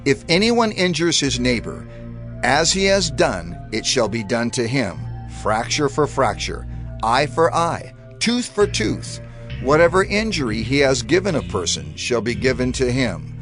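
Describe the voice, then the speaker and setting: A man reading scripture aloud in a measured, declamatory manner. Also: medium pitch, very animated, fairly bright tone. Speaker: scripture reader. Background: music.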